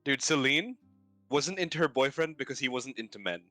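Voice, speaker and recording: Male co-streamer, bright and fairly high, jokey banter, dry recording over soft music. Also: animated, a little fast, no room sound. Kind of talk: joking casual banter. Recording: dry close sound, music behind.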